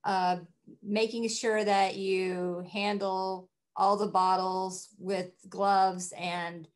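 A middle-aged woman talks as a guest farmer on a video call. Her pace is slow and measured, with a medium pitch. She sounds halting and casual as she explains things. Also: flat delivery. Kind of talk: casual interview answers. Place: small wood-panelled room at home. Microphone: computer mic on a video call.

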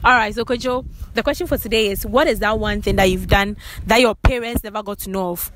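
A woman's voice: mid-range voice, fast talker, a bit bright. Young woman, Ghanaian English accent, bubbly and teasing, handheld mic outdoors, noisy background. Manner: bubbly, teasing, laughs often. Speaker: vlog host and street interviewer. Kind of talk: casual street interview. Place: outdoor university sports court. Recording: outdoor noise behind.

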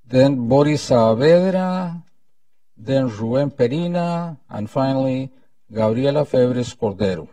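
A man's voice: mid-range voice, warm tone, no room sound, recorded from a distance. A man in his seventies with a Costa Rican Spanish accent, giving a formal, solemn welcome speech as event host. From Costa Rica. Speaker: event host, former ambassador. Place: indoor conference room at a lectern. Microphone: lapel mic.

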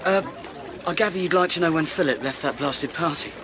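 A man's voice: a little high, a little fast, crowd noise, natural voice. A man playing an offhand, cocky young student in a radio drama. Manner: offhand, casual.

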